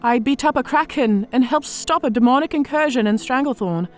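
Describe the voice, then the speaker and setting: An actress playing a battle-scarred, worldly female orc officer telling war stories in an audio drama with measured, theatrical delivery. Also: high voice, soft background music, close to an everyday voice. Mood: wry, boastful. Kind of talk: audio drama character acting.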